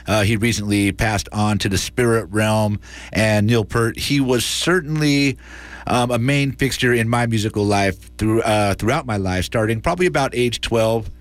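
An American man hosts a community radio show in a casual, conversational manner. His voice sits on the low side, and the sound is dry, with very little room in it. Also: dry recording. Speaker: radio host and interviewer. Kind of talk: live community radio presenting.

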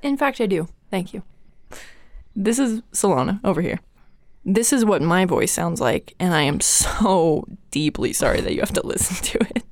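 A woman in her twenties co-hosting a podcast in casual chat, self-mocking and quick to laugh. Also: on the low side, expressive, darkish tone, no echo, clean studio sound. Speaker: podcast co-host.

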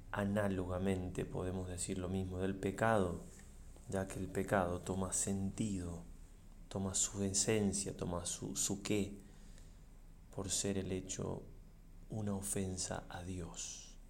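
A man, a priest leading a guided meditation in a solemn, measured way with pauses between short phrases. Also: sits low, vocal fry, a little mellow, a little hiss or hum. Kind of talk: slow reflective guided meditation.

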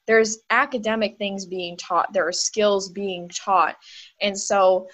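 A woman's voice: mid-range voice, fairly even intonation, takes it slowly, a bit bright. A woman with a middle-range voice hosting a casual interview with a fellow teacher. She talks in long, supportive stretches, linking ideas one after another. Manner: encouraging, long run-on explanations.

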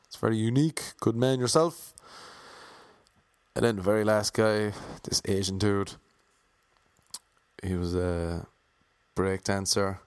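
A man's voice: fairly low pitch, fairly even intonation, unhurried, long pauses, clear, resonant tone, no room sound. A young man with an Irish accent telling wry, self-mocking stories about his auditions in a casual monologue. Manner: wry, self-mocking, playfully imitating others.

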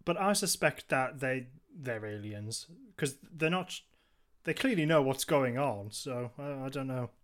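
A man's voice: mid-range voice, expressive, measured pace, fairly bright tone. A man co-hosting a podcast, chatting casually and somewhat hesitantly, often repeating his phrases. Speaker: podcast co-host. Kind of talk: casual chat.

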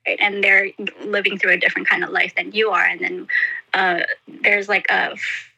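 A woman, a native Indonesian speaker, answering interview questions about growing up abroad in a hesitant, halting way with many fillers and restarts. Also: medium pitch, flat delivery, creaky, sharp tone, slightly rough, poor audio. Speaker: interview guest. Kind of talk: casual interview.

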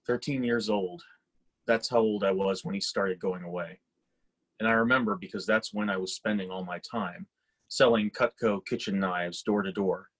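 An actor playing a detached, resentful grown son in a readers theatre drama. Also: male voice, on the low side, fairly even intonation, normal speed, close to an everyday voice. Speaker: actor in a staged reading. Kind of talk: dramatic inner monologue and dialogue.

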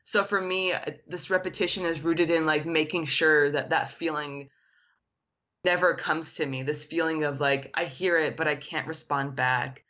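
A woman's voice: low-pitched, steady tone, moderate pace, fairly bright tone, lo-fi sound. A woman artist whose first language is Arabic, answering interview questions in an earnest, reflective way, with frequent "like" fillers. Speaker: artist guest.

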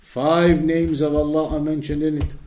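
Higher-pitched man teaching a religious lesson. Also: level tone, normal speed, dark tone. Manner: didactic, repeats key phrases for emphasis. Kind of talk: religious lesson, reading Arabic text and explaining it in English.

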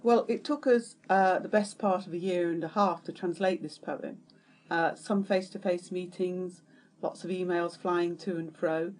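A woman with a slightly low voice moderates a panel discussion. She also reads poetry aloud, measured and steady. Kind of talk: poetry reading and panel chat.

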